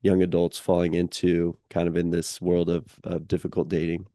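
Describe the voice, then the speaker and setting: Low, flat-voiced young man hosting. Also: moderate pace, dry recording, studio quality. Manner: calls his own voice monotone, many fillers. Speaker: podcast host. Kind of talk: casual interview chat.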